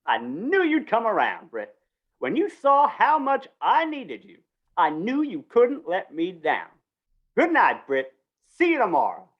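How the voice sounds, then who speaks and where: A slippery fair organizer from a western radio play , played by a woman. The pitch leaps about dramatically as the character coaxes and falsely reassures in a folksy, wheedling way. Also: wildly swooping pitch, measured pace, slightly rough, muffled recording, full character voice. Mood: smooth, sly. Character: wheedling, slippery male small-town fair organizer, fast talker. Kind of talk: old-time western radio drama acting.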